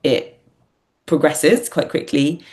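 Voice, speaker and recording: A woman's voice: sits low, slow pace, a little mellow. A woman talking candidly and reflectively in a casual interview, thinking aloud as she goes. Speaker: podcast guest, playwright and performer.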